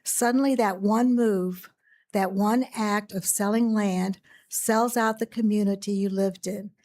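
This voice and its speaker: A woman with a raspy voice reading a prepared letter aloud as a public commenter at a county commission meeting. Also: mid-range voice, warm tone.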